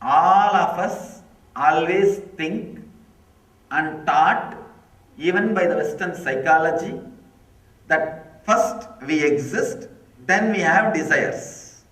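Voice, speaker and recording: Slow, high-voiced man preaching. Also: pushed and bright. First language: Tamil. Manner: slow, emphatic, repeats key phrases. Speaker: spiritual teacher. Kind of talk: spiritual discourse. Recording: echoey hall from far off.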